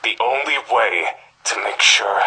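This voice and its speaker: Husky, haunted middle-aged journalist. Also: male voice, fairly low pitch, unhurried, heavily acted, rough recording. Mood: grim resolve. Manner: grave, brooding, breathy half-whispers. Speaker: voice actor in a game's audio tapes. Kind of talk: dramatic scripted monologue on cassette tape. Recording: sharp, gritty cassette-tape voice recording.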